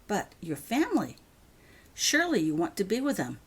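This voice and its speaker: A woman's voice: mid-range voice, wildly swooping pitch, normal speed, slightly rough, voice pushed up. A woman voicing a polite, curious young schoolgirl in a story reading. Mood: surprised.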